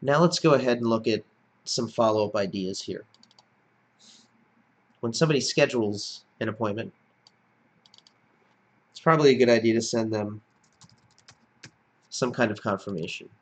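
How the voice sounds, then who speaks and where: A man's voice: lowish voice, moderate pace, pauses often. A man giving step-by-step screencast narration as an instructor, in a plain-spoken, explanatory manner. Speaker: software tutorial instructor.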